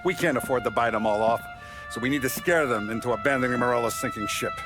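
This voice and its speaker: An actor playing a calculating crime family boss, calm and commanding, in a game cutscene. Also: male voice, medium pitch, fast talker, lightly acted. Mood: scheming. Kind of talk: video game cutscene acting. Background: background music.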